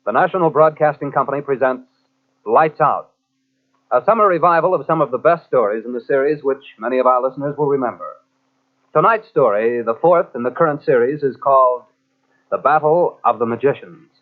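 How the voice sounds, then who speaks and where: A man's voice: mid-range voice, fairly quick. An American man formally introducing programs as a network announcer in 1940s radio style. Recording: tinny, narrow old radio recording.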